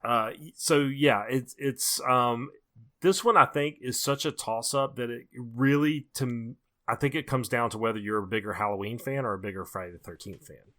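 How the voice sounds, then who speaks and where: A man's voice: mid-range voice, normal speed, sharp tone. A man co-hosting a horror movie podcast, giving casual, opinionated commentary and repeating his points for emphasis. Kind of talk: casual opinionated film discussion.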